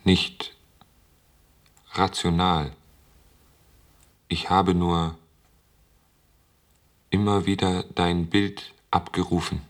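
An actor playing a lonely, childlike sentient computer in a radio drama, speaking in slow, halting, literal phrases. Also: male voice, deep voice, level tone. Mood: plaintive.

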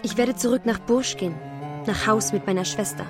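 An actress as a wistful young bride, music behind. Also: female voice, mid-range voice, fairly quick, natural voice. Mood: resigned. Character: melancholy, yearning young bride who left her fiancé. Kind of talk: literary radio drama acting.

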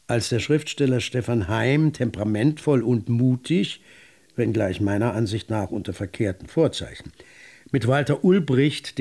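An actor playing a literary chronicler, narrating a radio drama with measured, articulate and deliberate phrasing. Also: male voice, medium pitch, creaky, warm tone.

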